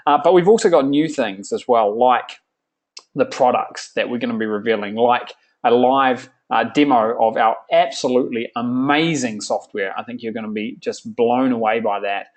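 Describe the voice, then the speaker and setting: A man's voice: mid-range voice, normal speed. A man in his thirties with a New Zealand accent hosting a live sales webinar, upbeat and salesy with frequent fillers. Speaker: webinar host.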